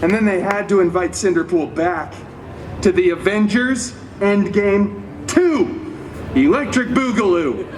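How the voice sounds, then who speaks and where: A man's voice: sits high, a little slow. A man playing a cheeky, wisecracking costumed superhero, telling a mock fairy tale live with sarcastic, theatrical asides. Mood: mock-grand. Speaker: costumed theme park character performer telling a story. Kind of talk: live comic storytelling to an audience. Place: theme park show before an audience. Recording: live crowd, noisy, distant mic.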